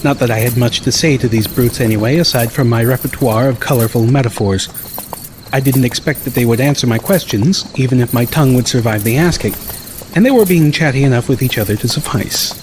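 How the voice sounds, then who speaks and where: A man's voice: mid-range voice, fairly quick, darkish tone, slight background noise, poor audio. A man reading a first-person story as an audiobook narrator.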